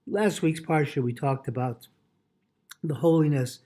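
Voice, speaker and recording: A man teaching a Torah lesson as a rabbi, in a halting way, repeating phrases and explaining Hebrew terms in short pieces. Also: a little high, warm tone.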